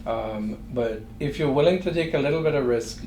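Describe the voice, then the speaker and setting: A middle-aged man with a Trinidadian accent, a guest speaking in a thoughtful, measured way with frequent pauses. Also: medium pitch, a little mellow. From Trinidad and Tobago. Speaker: guest entrepreneur. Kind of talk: interview conversation. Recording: echoey room with faint noise.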